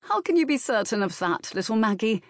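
A woman's voice: fairly high pitch, very animated, a little fast, dry recording, clean studio sound, pitched above the performer's own voice. Woman playing an earnest, emphatic young man. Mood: teasing. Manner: vehement, emphatic. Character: earnest, outspoken young man, loyal brother.

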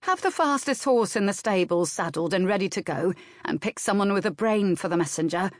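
A woman voicing a motherly, brisk older servant woman with old-fashioned rustic speech. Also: mid-range voice, animated, dry recording, studio quality, natural voice. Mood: sharp.

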